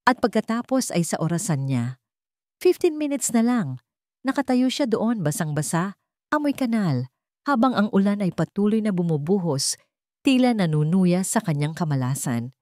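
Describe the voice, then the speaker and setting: A woman's voice: mid-range voice, big pitch swings, moderate pace, darkish tone, dry recording, studio quality. A woman reading a scripted dramatic story as its narrator.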